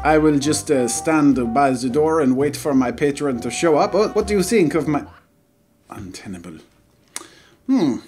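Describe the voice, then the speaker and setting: A man's voice: fairly high pitch, lively intonation, normal speed, a little mellow, soft background music, close to an everyday voice. A man voicing a melodramatic, pompous French artist with an exaggerated fake French accent, hosting game commentary in character. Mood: wounded, pompous. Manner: theatrical, dramatic, self-mocking. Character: pompous, melodramatic, wine-loving French male artist stuck in tower. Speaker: gaming video host.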